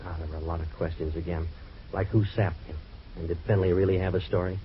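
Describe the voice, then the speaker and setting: An actor playing a sardonic, wisecracking American private eye in an old-time radio detective drama, with a deadpan delivery. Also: male voice, sits low, fast, close to an everyday voice. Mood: weary, sardonic. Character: hardboiled, wisecracking male private eye in trouble. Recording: dull, noisy vintage radio recording.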